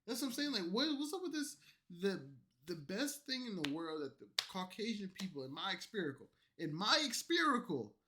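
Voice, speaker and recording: A man streaming late at night, tipsy from alcohol and an energy drink, delivering a loud, profane, rambling rant at his chat. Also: sits high, sing-song, fairly quick, a little hiss or hum. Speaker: streamer reacting to clips.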